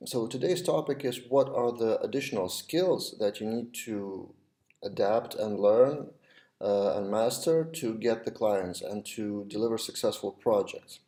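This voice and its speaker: A man's voice: fairly low pitch, unhurried, warm tone. A man in his thirties from Lithuania with a Lithuanian accent, talking casually to a webcam in a small office, with frequent fillers and restarts. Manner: hesitant, many restarts and fillers. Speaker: web developer vlogger. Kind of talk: casual talk to camera.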